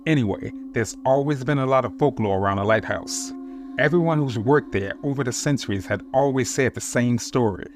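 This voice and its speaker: A man narrating true-encounter horror stories in a steady, measured reading voice. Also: mid-range voice. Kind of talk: scripted horror story narration.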